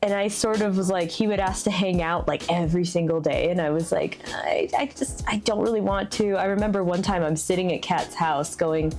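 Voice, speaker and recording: A woman, the host's wife, telling a story in a casual chat, giggling and animated as she goes. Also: lowish voice, fairly quick, few pauses, faint music behind. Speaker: streamer's wife, guest.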